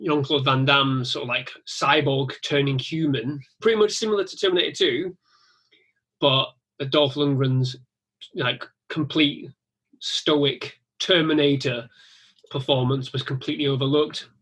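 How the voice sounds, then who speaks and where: A man's voice: medium pitch, steady tone, a little slow, fairly bright tone, husky. A man chatting casually on a podcast about action films, swearing freely. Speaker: podcast guest. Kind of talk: casual film chat.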